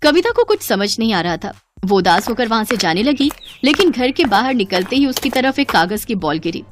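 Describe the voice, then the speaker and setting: A woman narrating a cartoon story in a dramatic, expressive scripted way, with faint music behind. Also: on the high side, moderate pace.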